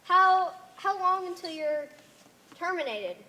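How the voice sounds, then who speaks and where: Stage play: woman plays lost, indignant young woman, very high voice, hugely animated delivery. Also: takes it slowly, exaggerated voice, rough recording. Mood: shocked questioning. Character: bewildered young woman stranded among strange cultists. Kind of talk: amateur one-act stage play acting.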